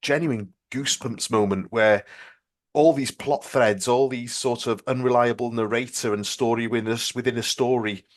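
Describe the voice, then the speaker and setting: Male podcast host, mid-pitched voice, casual, halting, self-deprecating, fan interviewer. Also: steady tone.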